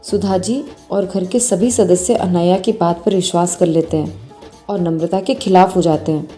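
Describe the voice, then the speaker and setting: A woman's voice: lowish voice, steady tone, moderate pace, dark tone. A woman storyteller reading a scripted bedtime moral story. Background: faint music.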